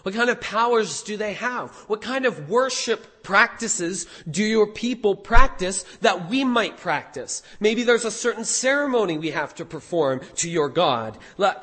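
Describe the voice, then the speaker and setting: A hoarse man preaching a sermon as pastor, animated, with rhetorical questions. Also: high voice, big-room sound.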